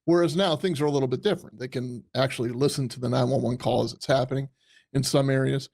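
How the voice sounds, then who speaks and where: A man giving casual, unscripted commentary, thinking aloud haltingly and repeating words as he goes. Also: mid-range voice, steady tone, vocal fry, darkish tone. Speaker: commentator, retired police commander.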